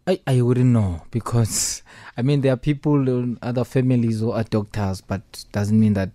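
A man from the Eastern Cape, a musician chatting in a relaxed, rambling way on a radio interview. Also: medium pitch, normal speed, no room sound. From Eastern Cape, South Africa. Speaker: musician guest.

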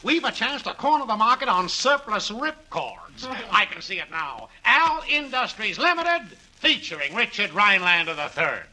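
A man's voice: sits high, very animated, normal speed, hardly any pauses, sharp tone, full character voice. A man playing a cocky small-time schemer in 1940s radio comedy, with slangy, pushy sales patter. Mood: pushy, excited. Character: brash small-time male schemer, fast-talking and cocky. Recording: noisy 1940s radio recording.

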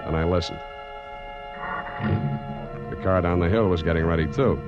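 An actor playing a wry, tough private detective in 1940s radio drama style, with clipped deadpan delivery. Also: male voice, low-pitched, moderate pace, dull audio, over music, lightly acted. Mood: brisk, wry narration. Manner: wisecracking, deadpan, clipped hard-boiled delivery. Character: wry, tough male private detective narrating his case.

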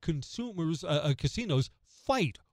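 American male radio host, emphatic opinion talk, very animated delivery, close dry studio sound. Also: fairly high pitch, unhurried, dry recording. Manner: emphatic, rhetorical questions answered by himself. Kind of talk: conversational opinion commentary on news radio.

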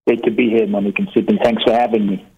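A man's voice: fairly low pitch, flat delivery, fast talker, breathy edge, muffled recording. A man, a guest author telling his life story in an earnest, reflective way. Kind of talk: interview talk.

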